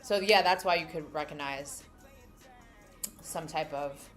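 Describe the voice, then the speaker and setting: A woman's voice: low-pitched, a little slow, soft background music. A young adult woman from New York with a New York accent, chatting casually with live-stream viewers and thinking aloud as she reads their comments. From New York City. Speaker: livestream host.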